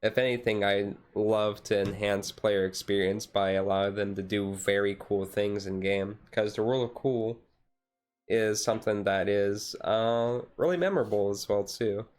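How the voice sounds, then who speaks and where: A man chatting casually on a relaxed livestream in a rambling, easygoing way. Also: on the low side, moderate pace. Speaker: streamer, tabletop game master. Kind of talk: casual chat on a relaxed ASMR-style livestream.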